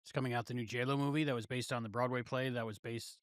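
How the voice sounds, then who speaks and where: A man's voice: medium pitch, steady tone, fast talker, dry recording, studio quality. A man in casual podcast conversation as co-host, given to playful, self-deprecating banter.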